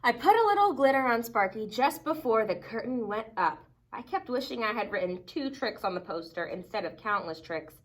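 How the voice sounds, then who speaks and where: A woman reading a children's picture book aloud expressively, with dramatic emphasis and deliberate pauses. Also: a little high, expressive, rough recording. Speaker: storybook reader.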